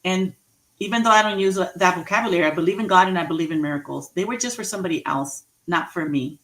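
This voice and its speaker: A woman in her forties giving an inspirational talk, slow and emphatic, repeating key phrases and laughing at her own jokes. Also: fairly low pitch, fairly bright tone, rough recording. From Mexican heritage, raised in the US. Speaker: motivational speaker. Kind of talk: inspirational speech.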